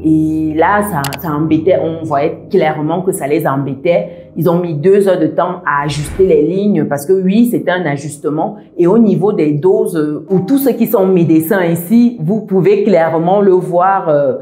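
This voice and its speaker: Woman vlogger with a diaspora accent, low and mellow, deliberate, hesitant pauses, echoey room. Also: faint music behind. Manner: measured, methodical, many "euh" pauses. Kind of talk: explaining to camera.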